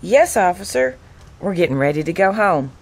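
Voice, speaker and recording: An actress playing a caring, plain-spoken young wife in a radio drama. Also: female voice, on the low side, very animated, moderate pace, breathy edge, full character voice. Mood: defensive.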